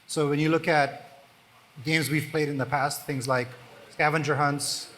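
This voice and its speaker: A man, a startup founder, speaking on a conference panel with relaxed, dry humor. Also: a little high, unhurried.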